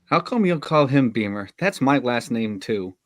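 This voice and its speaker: A man's voice: medium pitch, animated, moderate pace, no echo, clean studio sound, natural voice. A man playing an awkward, sarcastic, self-aware eighteen-year-old nerd in a script read. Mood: mildly put out. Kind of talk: live table read of a film script.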